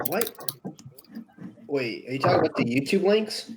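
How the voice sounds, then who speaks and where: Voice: mid-range voice, expressive, normal speed, a little hiss or hum. Someone chatting casually as host on an online voice call, rambling and repeating phrases. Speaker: host of a fan comic-dub livestream. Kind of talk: casual group chat.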